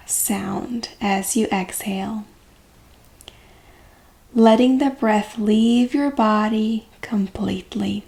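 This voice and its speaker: Female meditation guide: very unhurried, calming coach delivery, mid-range pitch, gentle phrasing with long pauses. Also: creaky.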